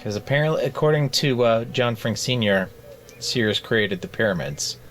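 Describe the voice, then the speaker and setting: A man co-hosting a casual book club podcast, rambling through jokes in a deadpan way. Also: medium pitch, normal speed, a little hiss or hum. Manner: joking, rambling deadpan bits. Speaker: podcast co-host.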